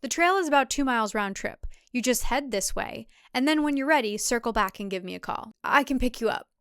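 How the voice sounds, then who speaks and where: A woman's voice: a little high, expressive, brisk, a bit bright, smooth, no room sound. Woman playing a folksy, pushy husband, boastful; dry close read. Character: smug, overly familiar male farm husband.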